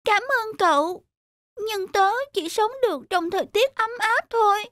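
A woman's voice: very high-pitched, a little slow, fairly bright tone, dry recording, studio quality. A woman voicing a small, frail, freezing young swallow in a children's cartoon, plaintive and childlike. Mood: weak, plaintive.

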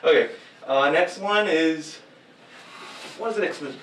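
A man's voice: fairly high pitch, measured pace, noisy background. Giggly young man in an echoey room. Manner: giggly and self-mocking, chatty. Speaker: cosplayer filming fighting-game moves. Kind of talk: casual chat while acting out moves. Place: green screen room indoors. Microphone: far camera mic. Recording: echoey room, mic set far off.

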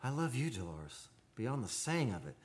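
A man's voice: medium pitch, sing-song, normal speed, lightly acted. A man playing a tormented, lovestruck mortal in an old-time radio drama, with brooding, theatrical and formal delivery.

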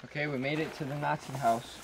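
A man's voice: a little high, steady tone, quick pace, gravelly, poor audio. A young man chatting casually and profanely as he vlogs outdoors. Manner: slangy, profane. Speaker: vlogger. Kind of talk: casual outdoor vlog chat. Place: outdoors on a trail and around graffitied ruins.

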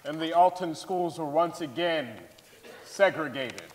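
A man's voice: on the high side, measured pace, close to an everyday voice. A man giving a scripted dramatic reading as narrator on a theatre stage. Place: large indoor theatre stage. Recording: echoey theatre hall.

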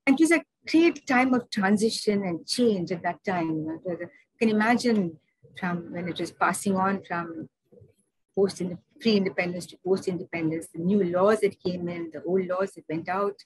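A woman's voice: lowish voice, normal speed, slightly rough, no room sound, poor audio. A woman with an Indian English accent giving a seminar talk over slides, chatty and digressive, with many fillers and restarts. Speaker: seminar speaker.

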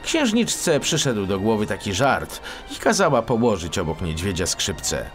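A man narrating a children's fairy tale as an expressive audiobook storyteller. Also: on the low side, measured pace, faint music behind.

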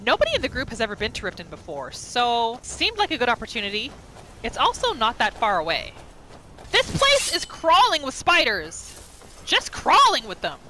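Woman, high bright voice, gameplay commentary, rhetorical questions, faint game music. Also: huge pitch swings, normal speed, a little hiss or hum, rough recording. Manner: exclaims, asks rhetorical questions, speaks for the character. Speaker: gamer narrating a roleplay playthrough. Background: video game music and sounds.

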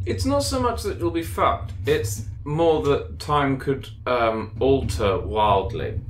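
A man's voice: mid-range voice, animated, slow pace, slight background noise. A man playing a character in a tabletop game, hesitant and stammering. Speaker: player.

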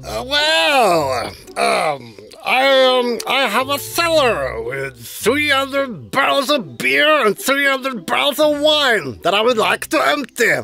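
A man's voice: very high voice, sing-song, vocal fry, sharp tone, heavily acted. A man playing a jolly but evasive old fairy-tale king in a cartoon, stalling as he talks. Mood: evasive, sly. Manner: stalling, drawn-out, hesitant. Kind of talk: animated fairy-tale character dialogue.

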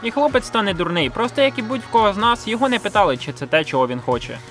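A man narrates a video essay at a quick pace in a fairly high voice. His delivery is blunt and sardonic, full of slang and self-mockery. Music plays underneath. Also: animated, fairly bright tone. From Ukraine, grew up in a village. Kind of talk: scripted video review narration with slang and swearing. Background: background music.

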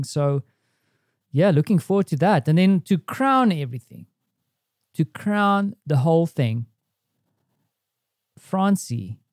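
A man's voice: a little high, dark tone, no room sound, clean studio sound. A man hosting a personal podcast, talking casually and reflectively, as if keeping an audio diary. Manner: reflective, conversational. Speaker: podcast host.

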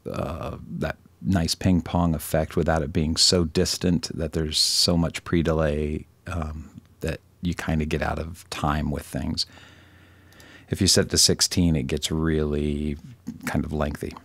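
A man presenting a casual screen-recorded software tutorial, thinking aloud in an informal, self-deprecating way. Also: very deep voice, little pitch movement, measured pace, creaky, no echo.

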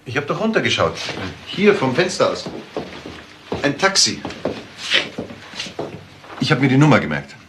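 A man's voice: mid-range voice, huge pitch swings, unhurried, long pauses, background noise. An actor playing an eager, self-important father who is a witness in a crime radio play, officious and pushy. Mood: self-satisfied. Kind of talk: German radio crime drama acting.

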